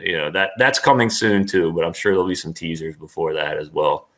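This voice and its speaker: Forceful, low-voiced man in casual interview. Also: fairly quick. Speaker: actor, interview guest.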